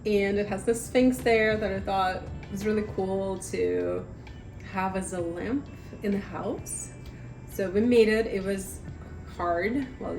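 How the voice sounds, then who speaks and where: Chatty woman, slightly raspy voice. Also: mid-range voice, darkish tone, a little hiss or hum, rough recording. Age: 30s. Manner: chatty, rambling, self-deprecating. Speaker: vlogger. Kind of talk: chatty sit-down vlog. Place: home, at a table.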